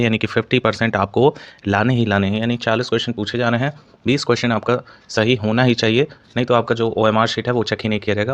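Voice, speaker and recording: A man's voice: mid-range voice, fairly bright tone, highs cut off. A man explaining exam information to students as a channel host, in an explanatory way with repeated stock phrases.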